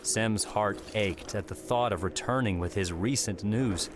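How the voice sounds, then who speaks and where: A man's voice: lowish voice, unhurried, background noise. A man reading scripted story narration for an audiobook. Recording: music behind the voice.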